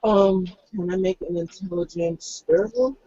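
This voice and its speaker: A man's voice: high voice, steady tone, normal speed, warm tone, dry recording. A man playing a tabletop roleplaying game, chatting casually and briefly about his moves. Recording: close dull lo-fi recording.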